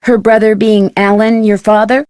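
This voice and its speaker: A woman's voice: mid-range voice, level tone, moderate pace, darkish tone, clean tone, no room sound, clean studio sound, close to an everyday voice. An actress playing a polite, curious young female amateur detective in a video game. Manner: inquisitive, polite. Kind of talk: scripted video game dialogue.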